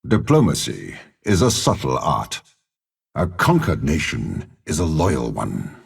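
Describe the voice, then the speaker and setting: An actor playing a cold, scheming older warlord and spymaster in a video game, speaking with a gravelly, husky voice in a measured, haughty, menacing manner. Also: male voice, rumbling low, wildly swooping pitch, heavily acted. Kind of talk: video game character voice lines.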